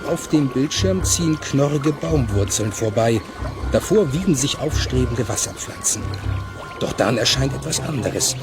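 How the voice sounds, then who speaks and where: A man narrating a children's audio play in a measured, suspenseful storytelling manner with clear articulation. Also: mid-range voice, animated, music in the background, close to an everyday voice. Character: suspense-building male storyteller.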